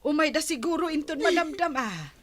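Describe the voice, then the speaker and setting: Woman acting slightly high-voiced, reassuring villager. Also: lively intonation, brisk, a bit bright. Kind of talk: dramatized radio-style serial acting.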